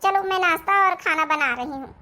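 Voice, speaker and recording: A performer plays a young city-bred daughter-in-law in a family cartoon. Her voice is extremely high and piercingly bright. She is kind and confident, reassuring everyone as she takes charge. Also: fairly quick. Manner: reassuring, take-charge, speaks standard Hindi. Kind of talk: Hindi family cartoon dialogue.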